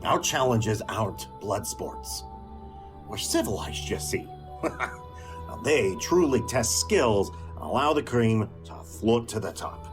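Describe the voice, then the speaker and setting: A man playing a jovial, rough but kind fantasy tavern keeper with a folksy, chuckling way of talking. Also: medium pitch, expressive, takes it slowly, over music. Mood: jovial, explaining. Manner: folksy fantasy dialect, chuckling between phrases.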